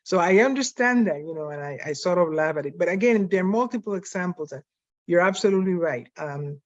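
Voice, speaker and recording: A woman's voice: lowish voice, expressive, brisk, no room sound. An older woman with a Spanish accent, speaking reflectively on a panel over a video call, often restarting her sentences. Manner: reflective, with frequent restarts and fillers. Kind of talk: conversational panel talk. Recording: dry, dull lo-fi call audio.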